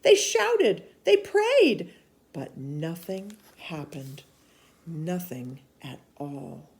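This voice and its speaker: A woman reading a children's storybook aloud in an expressive, animated way, dropping to hushed asides. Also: sits low, very slow, vocal fry. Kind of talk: read-aloud of a children's book.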